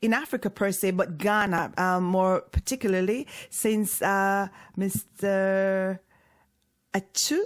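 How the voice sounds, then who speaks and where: A woman's voice: medium pitch, fairly even intonation, takes it slowly. A woman from Jamaica, hosting a call-in radio interview and talking hesitantly, with many fillers and restarts. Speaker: radio show host.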